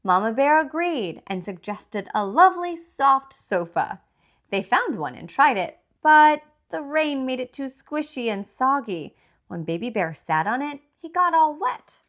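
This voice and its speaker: A woman reading a children's storybook aloud as its author, with deliberate, expressive scripted narration. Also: sits high.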